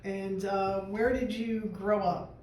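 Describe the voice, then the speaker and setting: A man with a notably high voice steps through a list of prepared interview questions, keeping his prompts short. He sounds as if he is in an echoey room, with faint noise in the background. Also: little pitch movement, slow pace, darkish tone. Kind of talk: oral history interview.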